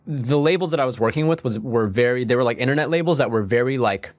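A young man talks fast on a close, dry mic, sounding a little sniffly as he gets over a cold. He rambles casually, piling on "like" and restarting his sentences. His pitch sits in the middle. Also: no room sound. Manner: rambling, heavy use of "like", frequent restarts. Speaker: musician guest. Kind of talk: casual podcast conversation.

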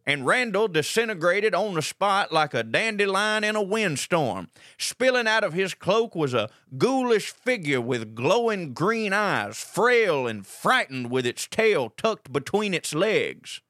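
A man's voice: high-pitched, sing-song, unhurried, hardly any pauses, a bit bright, no room sound, clean studio sound, heavily acted. A man with a Southern US accent acting a folksy colonel detective who narrates a comic audio drama with wry asides. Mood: dramatic, folksy. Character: folksy, digressive Southern colonel detective telling his tale.

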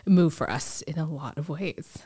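A woman's voice: low voice, normal speed, mellow, husky. A woman in her thirties co-hosting a podcast in a casual, conversational and lightly teasing way. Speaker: podcast co-host interviewing.

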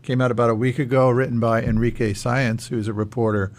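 A man's voice: mid-range voice, level tone, fast talker. A man hosting a radio interview in a conversational style, putting questions to a guest and commenting along the way. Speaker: radio show host.

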